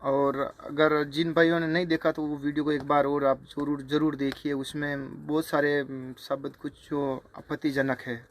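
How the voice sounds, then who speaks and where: A man speaking informally to viewers, remonstrating in a halting, repetitive way. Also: a little high, little pitch movement, a little hiss or hum. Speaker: singer. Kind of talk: informal spoken video response to viewers.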